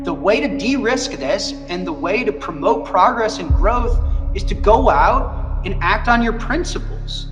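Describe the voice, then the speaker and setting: Very high-pitched man, emphatic and slow. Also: animated, over music. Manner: emphatic, repeats phrases rhetorically. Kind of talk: motivational persuasive talk.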